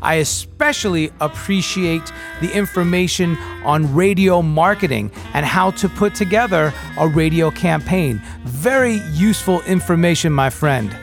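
A man's voice: a little high, measured pace, gravelly, few pauses. A man speaking as a podcast host, delivering a scripted sign-off and promo. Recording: music underneath. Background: music.

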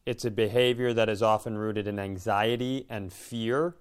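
A man co-hosting a casual chat, thinking aloud in a halting, reflective way and often breaking off to rephrase. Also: fairly low pitch, steady tone, clear, resonant tone. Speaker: podcast co-host.

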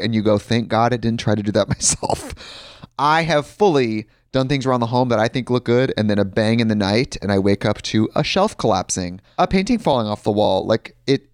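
A man's voice: medium pitch, no room sound, clean studio sound. A man giving a conversational, personal sponsor read. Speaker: ad reader.

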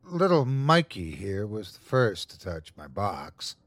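A man's voice: lowish voice, sing-song, fairly bright tone, no echo, exaggerated voice. A man voicing a sadistic, taunting creature in a horror story, savoring every cruel word in a mocking, gloating way. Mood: smug. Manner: gloating, drawn-out mocking delivery. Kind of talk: horror audiobook character voice.

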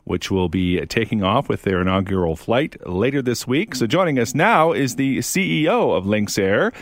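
A man hosts a travel podcast, keeping it upbeat and conversational with the odd "uh" as he asks questions. His voice is mid-pitched and sounds dry and close on a studio mic. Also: lively intonation, moderate pace, no echo. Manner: upbeat, conversational, with some fillers. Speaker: podcast host.

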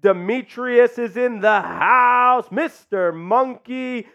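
A man's voice: very high voice, slow pace, a bit bright, lo-fi sound. A man hosting a live sports chat show, upbeat and hyped, reading out viewer comments. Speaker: podcast host.

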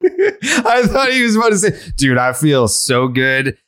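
A man hosting a podcast, his pitch swinging widely as he jokes and laughs through the conversation. The sound is dry and close, with little room around him. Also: sing-song, unhurried, a bit bright, smooth, no room sound. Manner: joking, laughs often. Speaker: podcast host. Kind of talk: casual podcast chat.

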